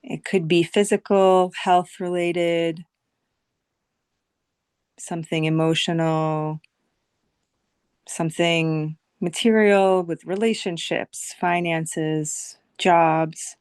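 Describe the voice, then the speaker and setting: A woman leading a guided visualization meditation as a teacher, speaking soothingly in short phrases with long, unhurried pauses. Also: lowish voice, fairly even intonation, a little mellow, clear, resonant tone, dry recording, studio quality.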